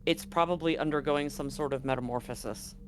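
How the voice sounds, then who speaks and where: A game master narrating a tabletop roleplaying game in a casual, halting way, often correcting course mid-sentence. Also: medium pitch, a little hiss or hum.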